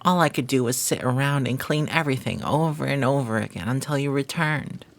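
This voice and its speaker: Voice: mid-range voice, brisk, creaky, close to an everyday voice. A performer playing a flirty, devoted maid who teases and gossips in formal, flowery phrasing, in a scripted romantic audio roleplay. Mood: affectionate, teasing.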